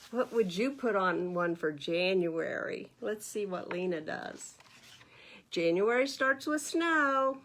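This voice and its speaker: An American woman reads aloud to young children as a storytime host. Her pace is slow and unhurried, with a mid-range pitch. She talks in a teacherly way, checking in with her listeners through simple questions. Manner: animated teacherly asides, asking the listeners questions. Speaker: library storytime host reading a picture book.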